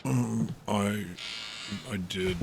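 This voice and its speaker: An actor playing a dazed, submissive man with a halting, hesitant way of talking, in an audio drama. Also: lowish voice, fairly even intonation, vocal fry, background noise, full character voice. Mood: dazed, compliant.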